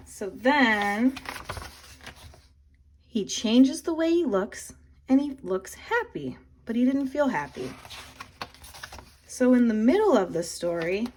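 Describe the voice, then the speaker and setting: A woman reads a picture book aloud as a teacher would to young children. Her pitch rises and falls expressively, and she takes her time, stressing key words. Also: darkish tone. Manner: clear emphasis, addressing young listeners. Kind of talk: expressive storybook read-aloud and lesson for children.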